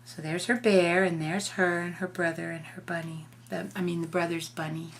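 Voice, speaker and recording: An older woman, a grandmother, reading a picture book aloud to a child in a gentle, explanatory way with informal asides. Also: fairly low pitch, level tone, moderate pace, heavy background noise.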